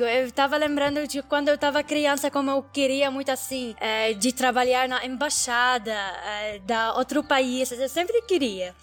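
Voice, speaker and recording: A young woman from Syria with an Arabic accent, a non-native speaker answering casually in an interview and often searching for words. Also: sits high. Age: young adult. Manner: halting, searching for words in second-language speech. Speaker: interviewee, international relations student.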